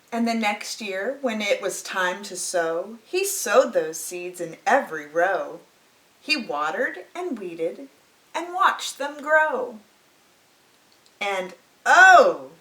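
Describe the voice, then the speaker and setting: Lady doing kids storytime read aloud, highish voice, really slow and dramatic, echoey room. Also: fairly bright tone. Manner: dramatic, deliberate storytelling with stretched words. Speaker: children's storytime host reading aloud. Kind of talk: expressive picture-book read-aloud.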